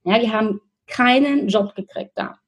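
A woman in her thirties from Berlin, a guest telling her story in a relaxed, chatty way, with many fillers and restarts and frequent laughs. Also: mid-range voice, normal speed, breathy edge. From Berlin, Germany. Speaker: interview guest. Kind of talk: casual conversational storytelling. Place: in Australia.

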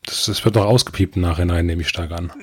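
A man's voice: sits low, steady tone, quick pace, husky, no echo, clean studio sound. A man on a podcast panel, chatting casually in a blunt, opinionated way. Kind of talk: casual chat.

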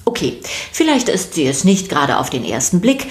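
Woman narrating a kids podcast, scripted, voice a bit on the low side. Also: very animated, moderate pace.

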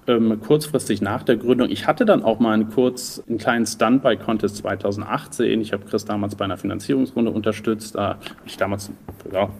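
A man's voice: fairly low pitch, fairly even intonation, a little fast, creaky, a little mellow, slight background noise. A man in his thirties from the German Rhineland, answering interview questions conversationally in a thoughtful way, searching for words. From Düsseldorf, Rhineland, Germany. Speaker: interview guest, entrepreneur.

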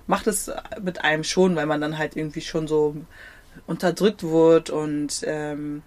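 A woman's voice: low voice, level tone, a little slow, darkish tone. A young woman from Germany, talking casually as an interview guest, hesitant with many fillers and frequent laughs. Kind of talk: casual conversation.

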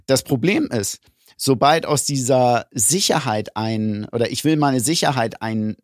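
A man's voice: medium pitch, no room sound, studio quality. A man from Germany co-hosting a casual podcast, explaining his views at length in a reflective way. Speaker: podcast co-host.

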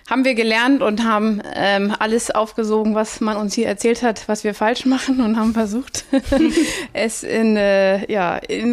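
A woman who owns a restaurant, telling its history in a relaxed podcast conversation, rambling with many restarts. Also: mid-range voice. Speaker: restaurant owner.

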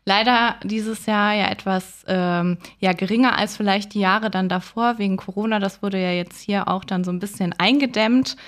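A woman's voice: mid-range voice, fairly even intonation, normal speed, few pauses. A woman hosting an interview podcast, introducing and questioning a guest. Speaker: podcast host.

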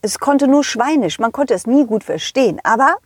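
A woman's voice: on the high side, breathy edge, no room sound, studio quality. A woman voicing a friendly, eager male dog who narrates a children's audio story expressively, speaking directly to the kids. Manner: expressive, addresses children directly, halting delivery. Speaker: host and narrator.

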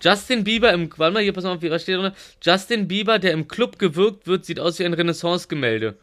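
Male podcast co-host, bright and forceful, slightly high voice, chatty and rambling, stumbles over starts. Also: expressive, quick pace. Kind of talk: casual banter among friends.

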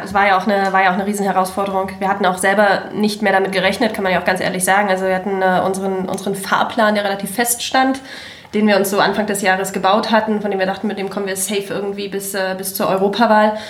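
A woman's voice: medium pitch, little pitch movement, fast. A woman in her thirties from Berlin, talking in a chatty, frank and self-mocking way as a guest in a casual chat. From Berlin, Germany. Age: 30s. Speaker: party volunteer guest. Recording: roomy echoey room.

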